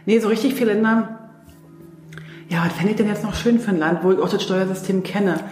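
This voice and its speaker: A woman's voice: mid-range voice, brisk, a little mellow, reverberant, faint music behind. A woman from East Germany hosting a casual interview, with a teasing, playful way of talking. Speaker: podcast host.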